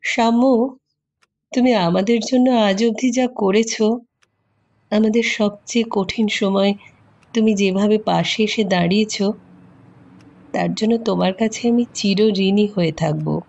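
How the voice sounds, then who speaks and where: A woman playing a sorrowful, gentle elderly grandmother in an audio story, husky and halting, with tender gratitude. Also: mid-range voice, lo-fi sound. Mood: grateful, sorrowful. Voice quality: husky, creaky. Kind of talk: Bengali audio story acting.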